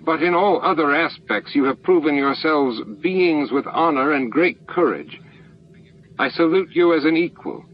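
A man's voice: a little high, moderate pace, voice pushed up, close to an everyday voice. Man voicing an earnest alien hive master, muffled recording. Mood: earnest gratitude. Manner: formal, emphatic speech. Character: grateful, earnest alien hive master, shaken but resolute. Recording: dull, noisy lo-fi book-on-tape recording.

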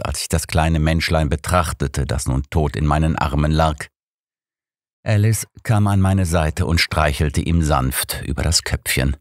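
A man's voice: very deep voice, no echo, clean studio sound. A man reading scripted first-person story narration as an audiobook narrator.

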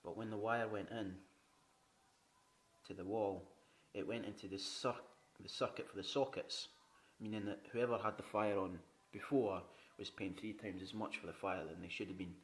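A man giving a casual walkthrough of a flat he is renovating, chatty and frugal, swearing casually, recorded on a handheld camera indoors. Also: on the low side, normal speed, rough recording. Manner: chatty, frugal, swears casually, repeats "you know what I mean". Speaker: home renovator. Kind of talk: walkthrough tour narration. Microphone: handheld camera mic.

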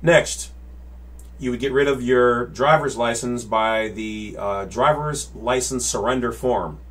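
A man's voice: mid-range voice, a little slow, a bit bright. A man in his forties explaining to a webcam in his home office, gesturing with his hands and checking in with "all right?" and "okay?" after his points. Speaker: channel host. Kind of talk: casual explainer to camera. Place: home office with bookshelves and monitors. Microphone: webcam at desk.

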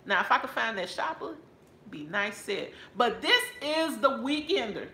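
A woman's voice: on the high side, very animated, normal speed, sharp tone, roomy sound, slight background noise. A middle-aged woman with a Texan accent, chatting casually to her viewers about a handbag she found, sitting on a couch at home. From Texas. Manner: chatty and playful, addresses viewers as y'all. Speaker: handbag haul vlogger. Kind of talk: casual haul video talking to viewers. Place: living room couch at home. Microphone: camera or phone on a stand, close framing.

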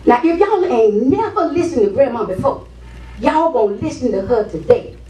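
A sassy old American grandmother in a comic stage play, acted by a woman. Her voice is high and very animated as she hands out folksy advice, doubling back on her words. It sounds distant in a reverberant space. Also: normal speed, a little hiss or hum. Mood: bossy, advising. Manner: folksy, repeats words, calls people baby and sugar. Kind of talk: comedic stage play acting. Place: indoor stage set in a hall. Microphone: distant camera. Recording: echoey stage, picked up from afar.

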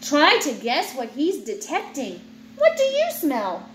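Woman in her thirties, high-pitched, expressive read-aloud to a child, unhurried, playful asides, roomy home recording with background noise. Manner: expressive, teacherly reading that breaks off into playful asides. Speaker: parent reading aloud to a child. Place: indoors in an open room at home with high ceiling.

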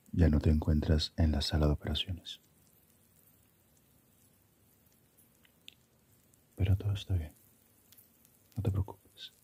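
A man voicing Death as a calm, philosophical figure in a slow ASMR roleplay, hushed, breathy and half-whispered, musing gently. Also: extremely low pitch, full character voice. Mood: soothing, welcoming. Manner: unhurried, musing, asks reflective questions.

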